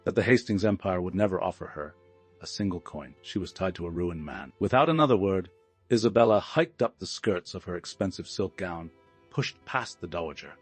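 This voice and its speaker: A man's voice: low-pitched, expressive, fairly quick, breathy edge, soft background music. A man reading a scripted story as a dramatic audiobook narrator.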